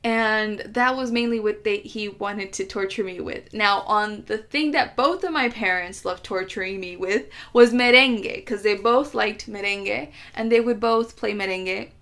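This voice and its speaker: A woman's voice: mid-range voice, vocal fry, a bit bright. A woman with an American accent who grew up in the United States, telling stories in a chatty, playful vlog. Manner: chatty, playful, exaggerated complaints. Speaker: travel vlogger. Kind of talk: casual storytelling vlog.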